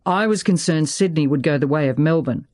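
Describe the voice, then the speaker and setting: A narrator voicing a tense, self-controlled male politician in uniform, cautious and measured. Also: mid-range voice, darkish tone, dry recording, clean studio sound, lightly acted. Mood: guarded.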